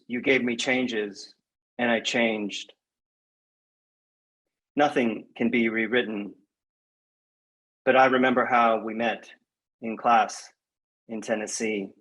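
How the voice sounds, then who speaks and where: A man speaking as a guest poet in a measured, reflective, slightly hesitant way, as at a reading or interview. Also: medium pitch, steady tone, fairly bright tone, poor audio. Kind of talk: poetry reading and conversational interview.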